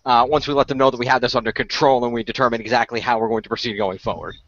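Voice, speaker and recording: A man's voice: medium pitch, fairly even intonation, very fast, fairly bright tone, no echo, lightly acted. A man playing an earnest, diplomatic ghost-hunter spokesman in an improvised role-play, often restarting his phrases. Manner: hesitant, repeats himself, chuckles. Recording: slightly dull, faintly noisy voice chat.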